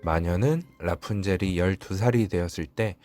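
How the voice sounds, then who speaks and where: A man's voice: low voice, normal speed, a little mellow, soft background music. A man reading a fairy-tale storybook aloud as the narrator, in scripted storytelling style.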